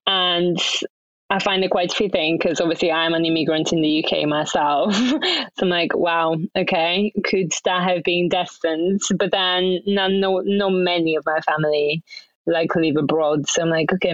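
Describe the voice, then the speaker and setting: A woman's voice: on the low side, steady tone, moderate pace, dry recording, highs cut off. A young woman from Bulgaria, a podcast guest chatting in English as a second language, upbeat and laughing often between phrases. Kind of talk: casual interview chat.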